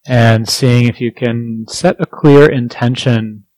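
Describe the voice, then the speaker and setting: A man leading a guided meditation as a teacher, speaking gently and unhurriedly with pauses between phrases. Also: mid-range voice, fairly even intonation, dry recording, rough recording.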